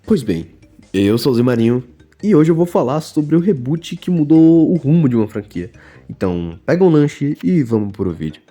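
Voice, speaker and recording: A man's voice: on the high side, big pitch swings, moderate pace, mellow, faint music behind. A young man with a Brazilian Portuguese accent, narrating a scripted video game review voice-over in a casual, slangy, joking way. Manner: casual and slangy, jokey asides.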